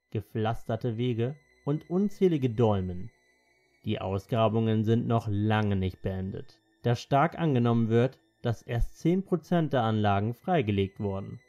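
A man's voice: mid-range voice, dark tone. A man narrating a scripted documentary as its narrator.

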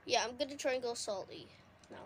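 Excitable young gamer girl, slightly hoarse. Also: a little high, quick pace, slight background noise. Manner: chatty, excitable, reacting to the game. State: losing her voice. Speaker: gaming streamer. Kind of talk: casual gameplay commentary. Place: indoors at a console. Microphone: phone filming a TV screen.

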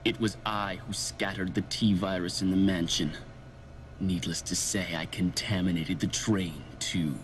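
Vengeful game antagonist: low, deliberate, theatrical menace. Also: male voice, fairly even intonation, noisy background. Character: menacing, vengeful male villain.

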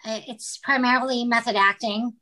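A woman's voice: fairly high pitch, fairly even intonation, moderate pace, pushed and bright, poor audio. A woman from the Philippines, a writer and actress, speaking as a guest in a casual interview, hesitant with many fillers and restarts.

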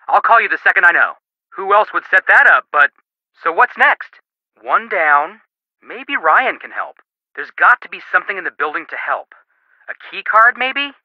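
High-pitched, goofy young male detective. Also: no room sound, highs cut off. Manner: playful, wisecracking, enthusiastic. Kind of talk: video game character dialogue.

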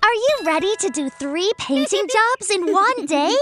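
A woman's voice: very high voice, lively intonation, a little slow, loud music behind the voice. A woman voicing a cheerful, determined little girl with an eager way of talking in a children's cartoon. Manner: eager, exclamatory.

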